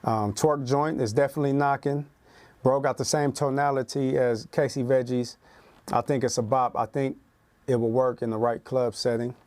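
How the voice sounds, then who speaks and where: A man's voice: mid-range voice, fairly even intonation, moderate pace, a little mellow. Regular guy cohost, stumbles and restarts, says definitely a lot. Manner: hesitant restarts, agreeable "definitely". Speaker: co-host reviewing songs. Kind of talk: casual chat.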